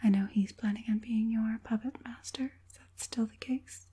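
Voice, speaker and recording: A woman playing a softly menacing evil witch puppet master in an ASMR roleplay, whispering breathily with a coaxing, politely sinister manner. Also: a little high, steady tone, normal speed, slightly rough. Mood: softly businesslike.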